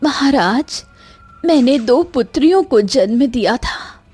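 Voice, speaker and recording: Distraught cartoon queen and mother, voiced by a woman, high, husky, pleading and emotional. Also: animated, slow pace, warm tone, faint music behind, exaggerated voice. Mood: alarmed.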